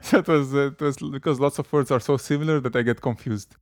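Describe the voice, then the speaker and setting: A man's voice: medium pitch, fast talker, dry recording. A man in his thirties, chatting as an interview guest with a mid-range voice. He talks in a loose, hesitant way and laughs easily. The sound is dry and close. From Lebanon. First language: Arabic and French. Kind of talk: relaxed conversational interview.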